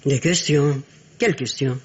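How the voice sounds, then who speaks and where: A woman playing a stern but fond aristocratic old grandmother in a radio drama, speaking in a formal, admonishing, imperious way with a husky voice. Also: a bass voice, unhurried, slight background noise. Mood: guarded. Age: older. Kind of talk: French radio drama acting. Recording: dull, muffled old radio recording.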